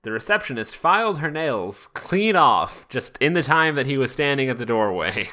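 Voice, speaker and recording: A man hosting a podcast, reading fan fiction aloud in a jokey, deliberate way with frequent asides. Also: medium pitch, very animated, pushed and bright. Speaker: podcast host.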